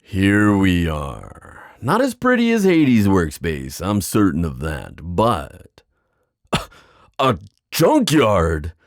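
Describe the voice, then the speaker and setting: A deep-voiced, playful giant sea god played by a man for an ASMR roleplay. He lingers over his words in a slow, theatrical, teasing way, and his pitch swings widely as he flirts. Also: wildly swooping pitch, dry recording, clean studio sound, exaggerated voice. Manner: teasing, theatrical, drawn-out phrasing.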